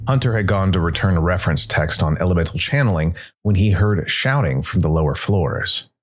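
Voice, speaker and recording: Male narrator, low voice, scripted fantasy storytelling, measured dramatic pauses, soft music bed. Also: animated. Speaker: narrator, solo storytelling player.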